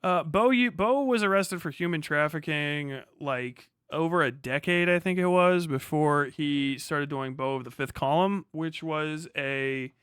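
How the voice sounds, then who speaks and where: A man's voice: fairly high pitch, a little slow, few pauses, fairly bright tone, clean tone, dry recording, studio quality. An American man hosting a livestream, talking casually and reacting to chat in a profane, slangy, rambling way. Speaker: livestream host. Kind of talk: casual livestream commentary, reading and answering chat.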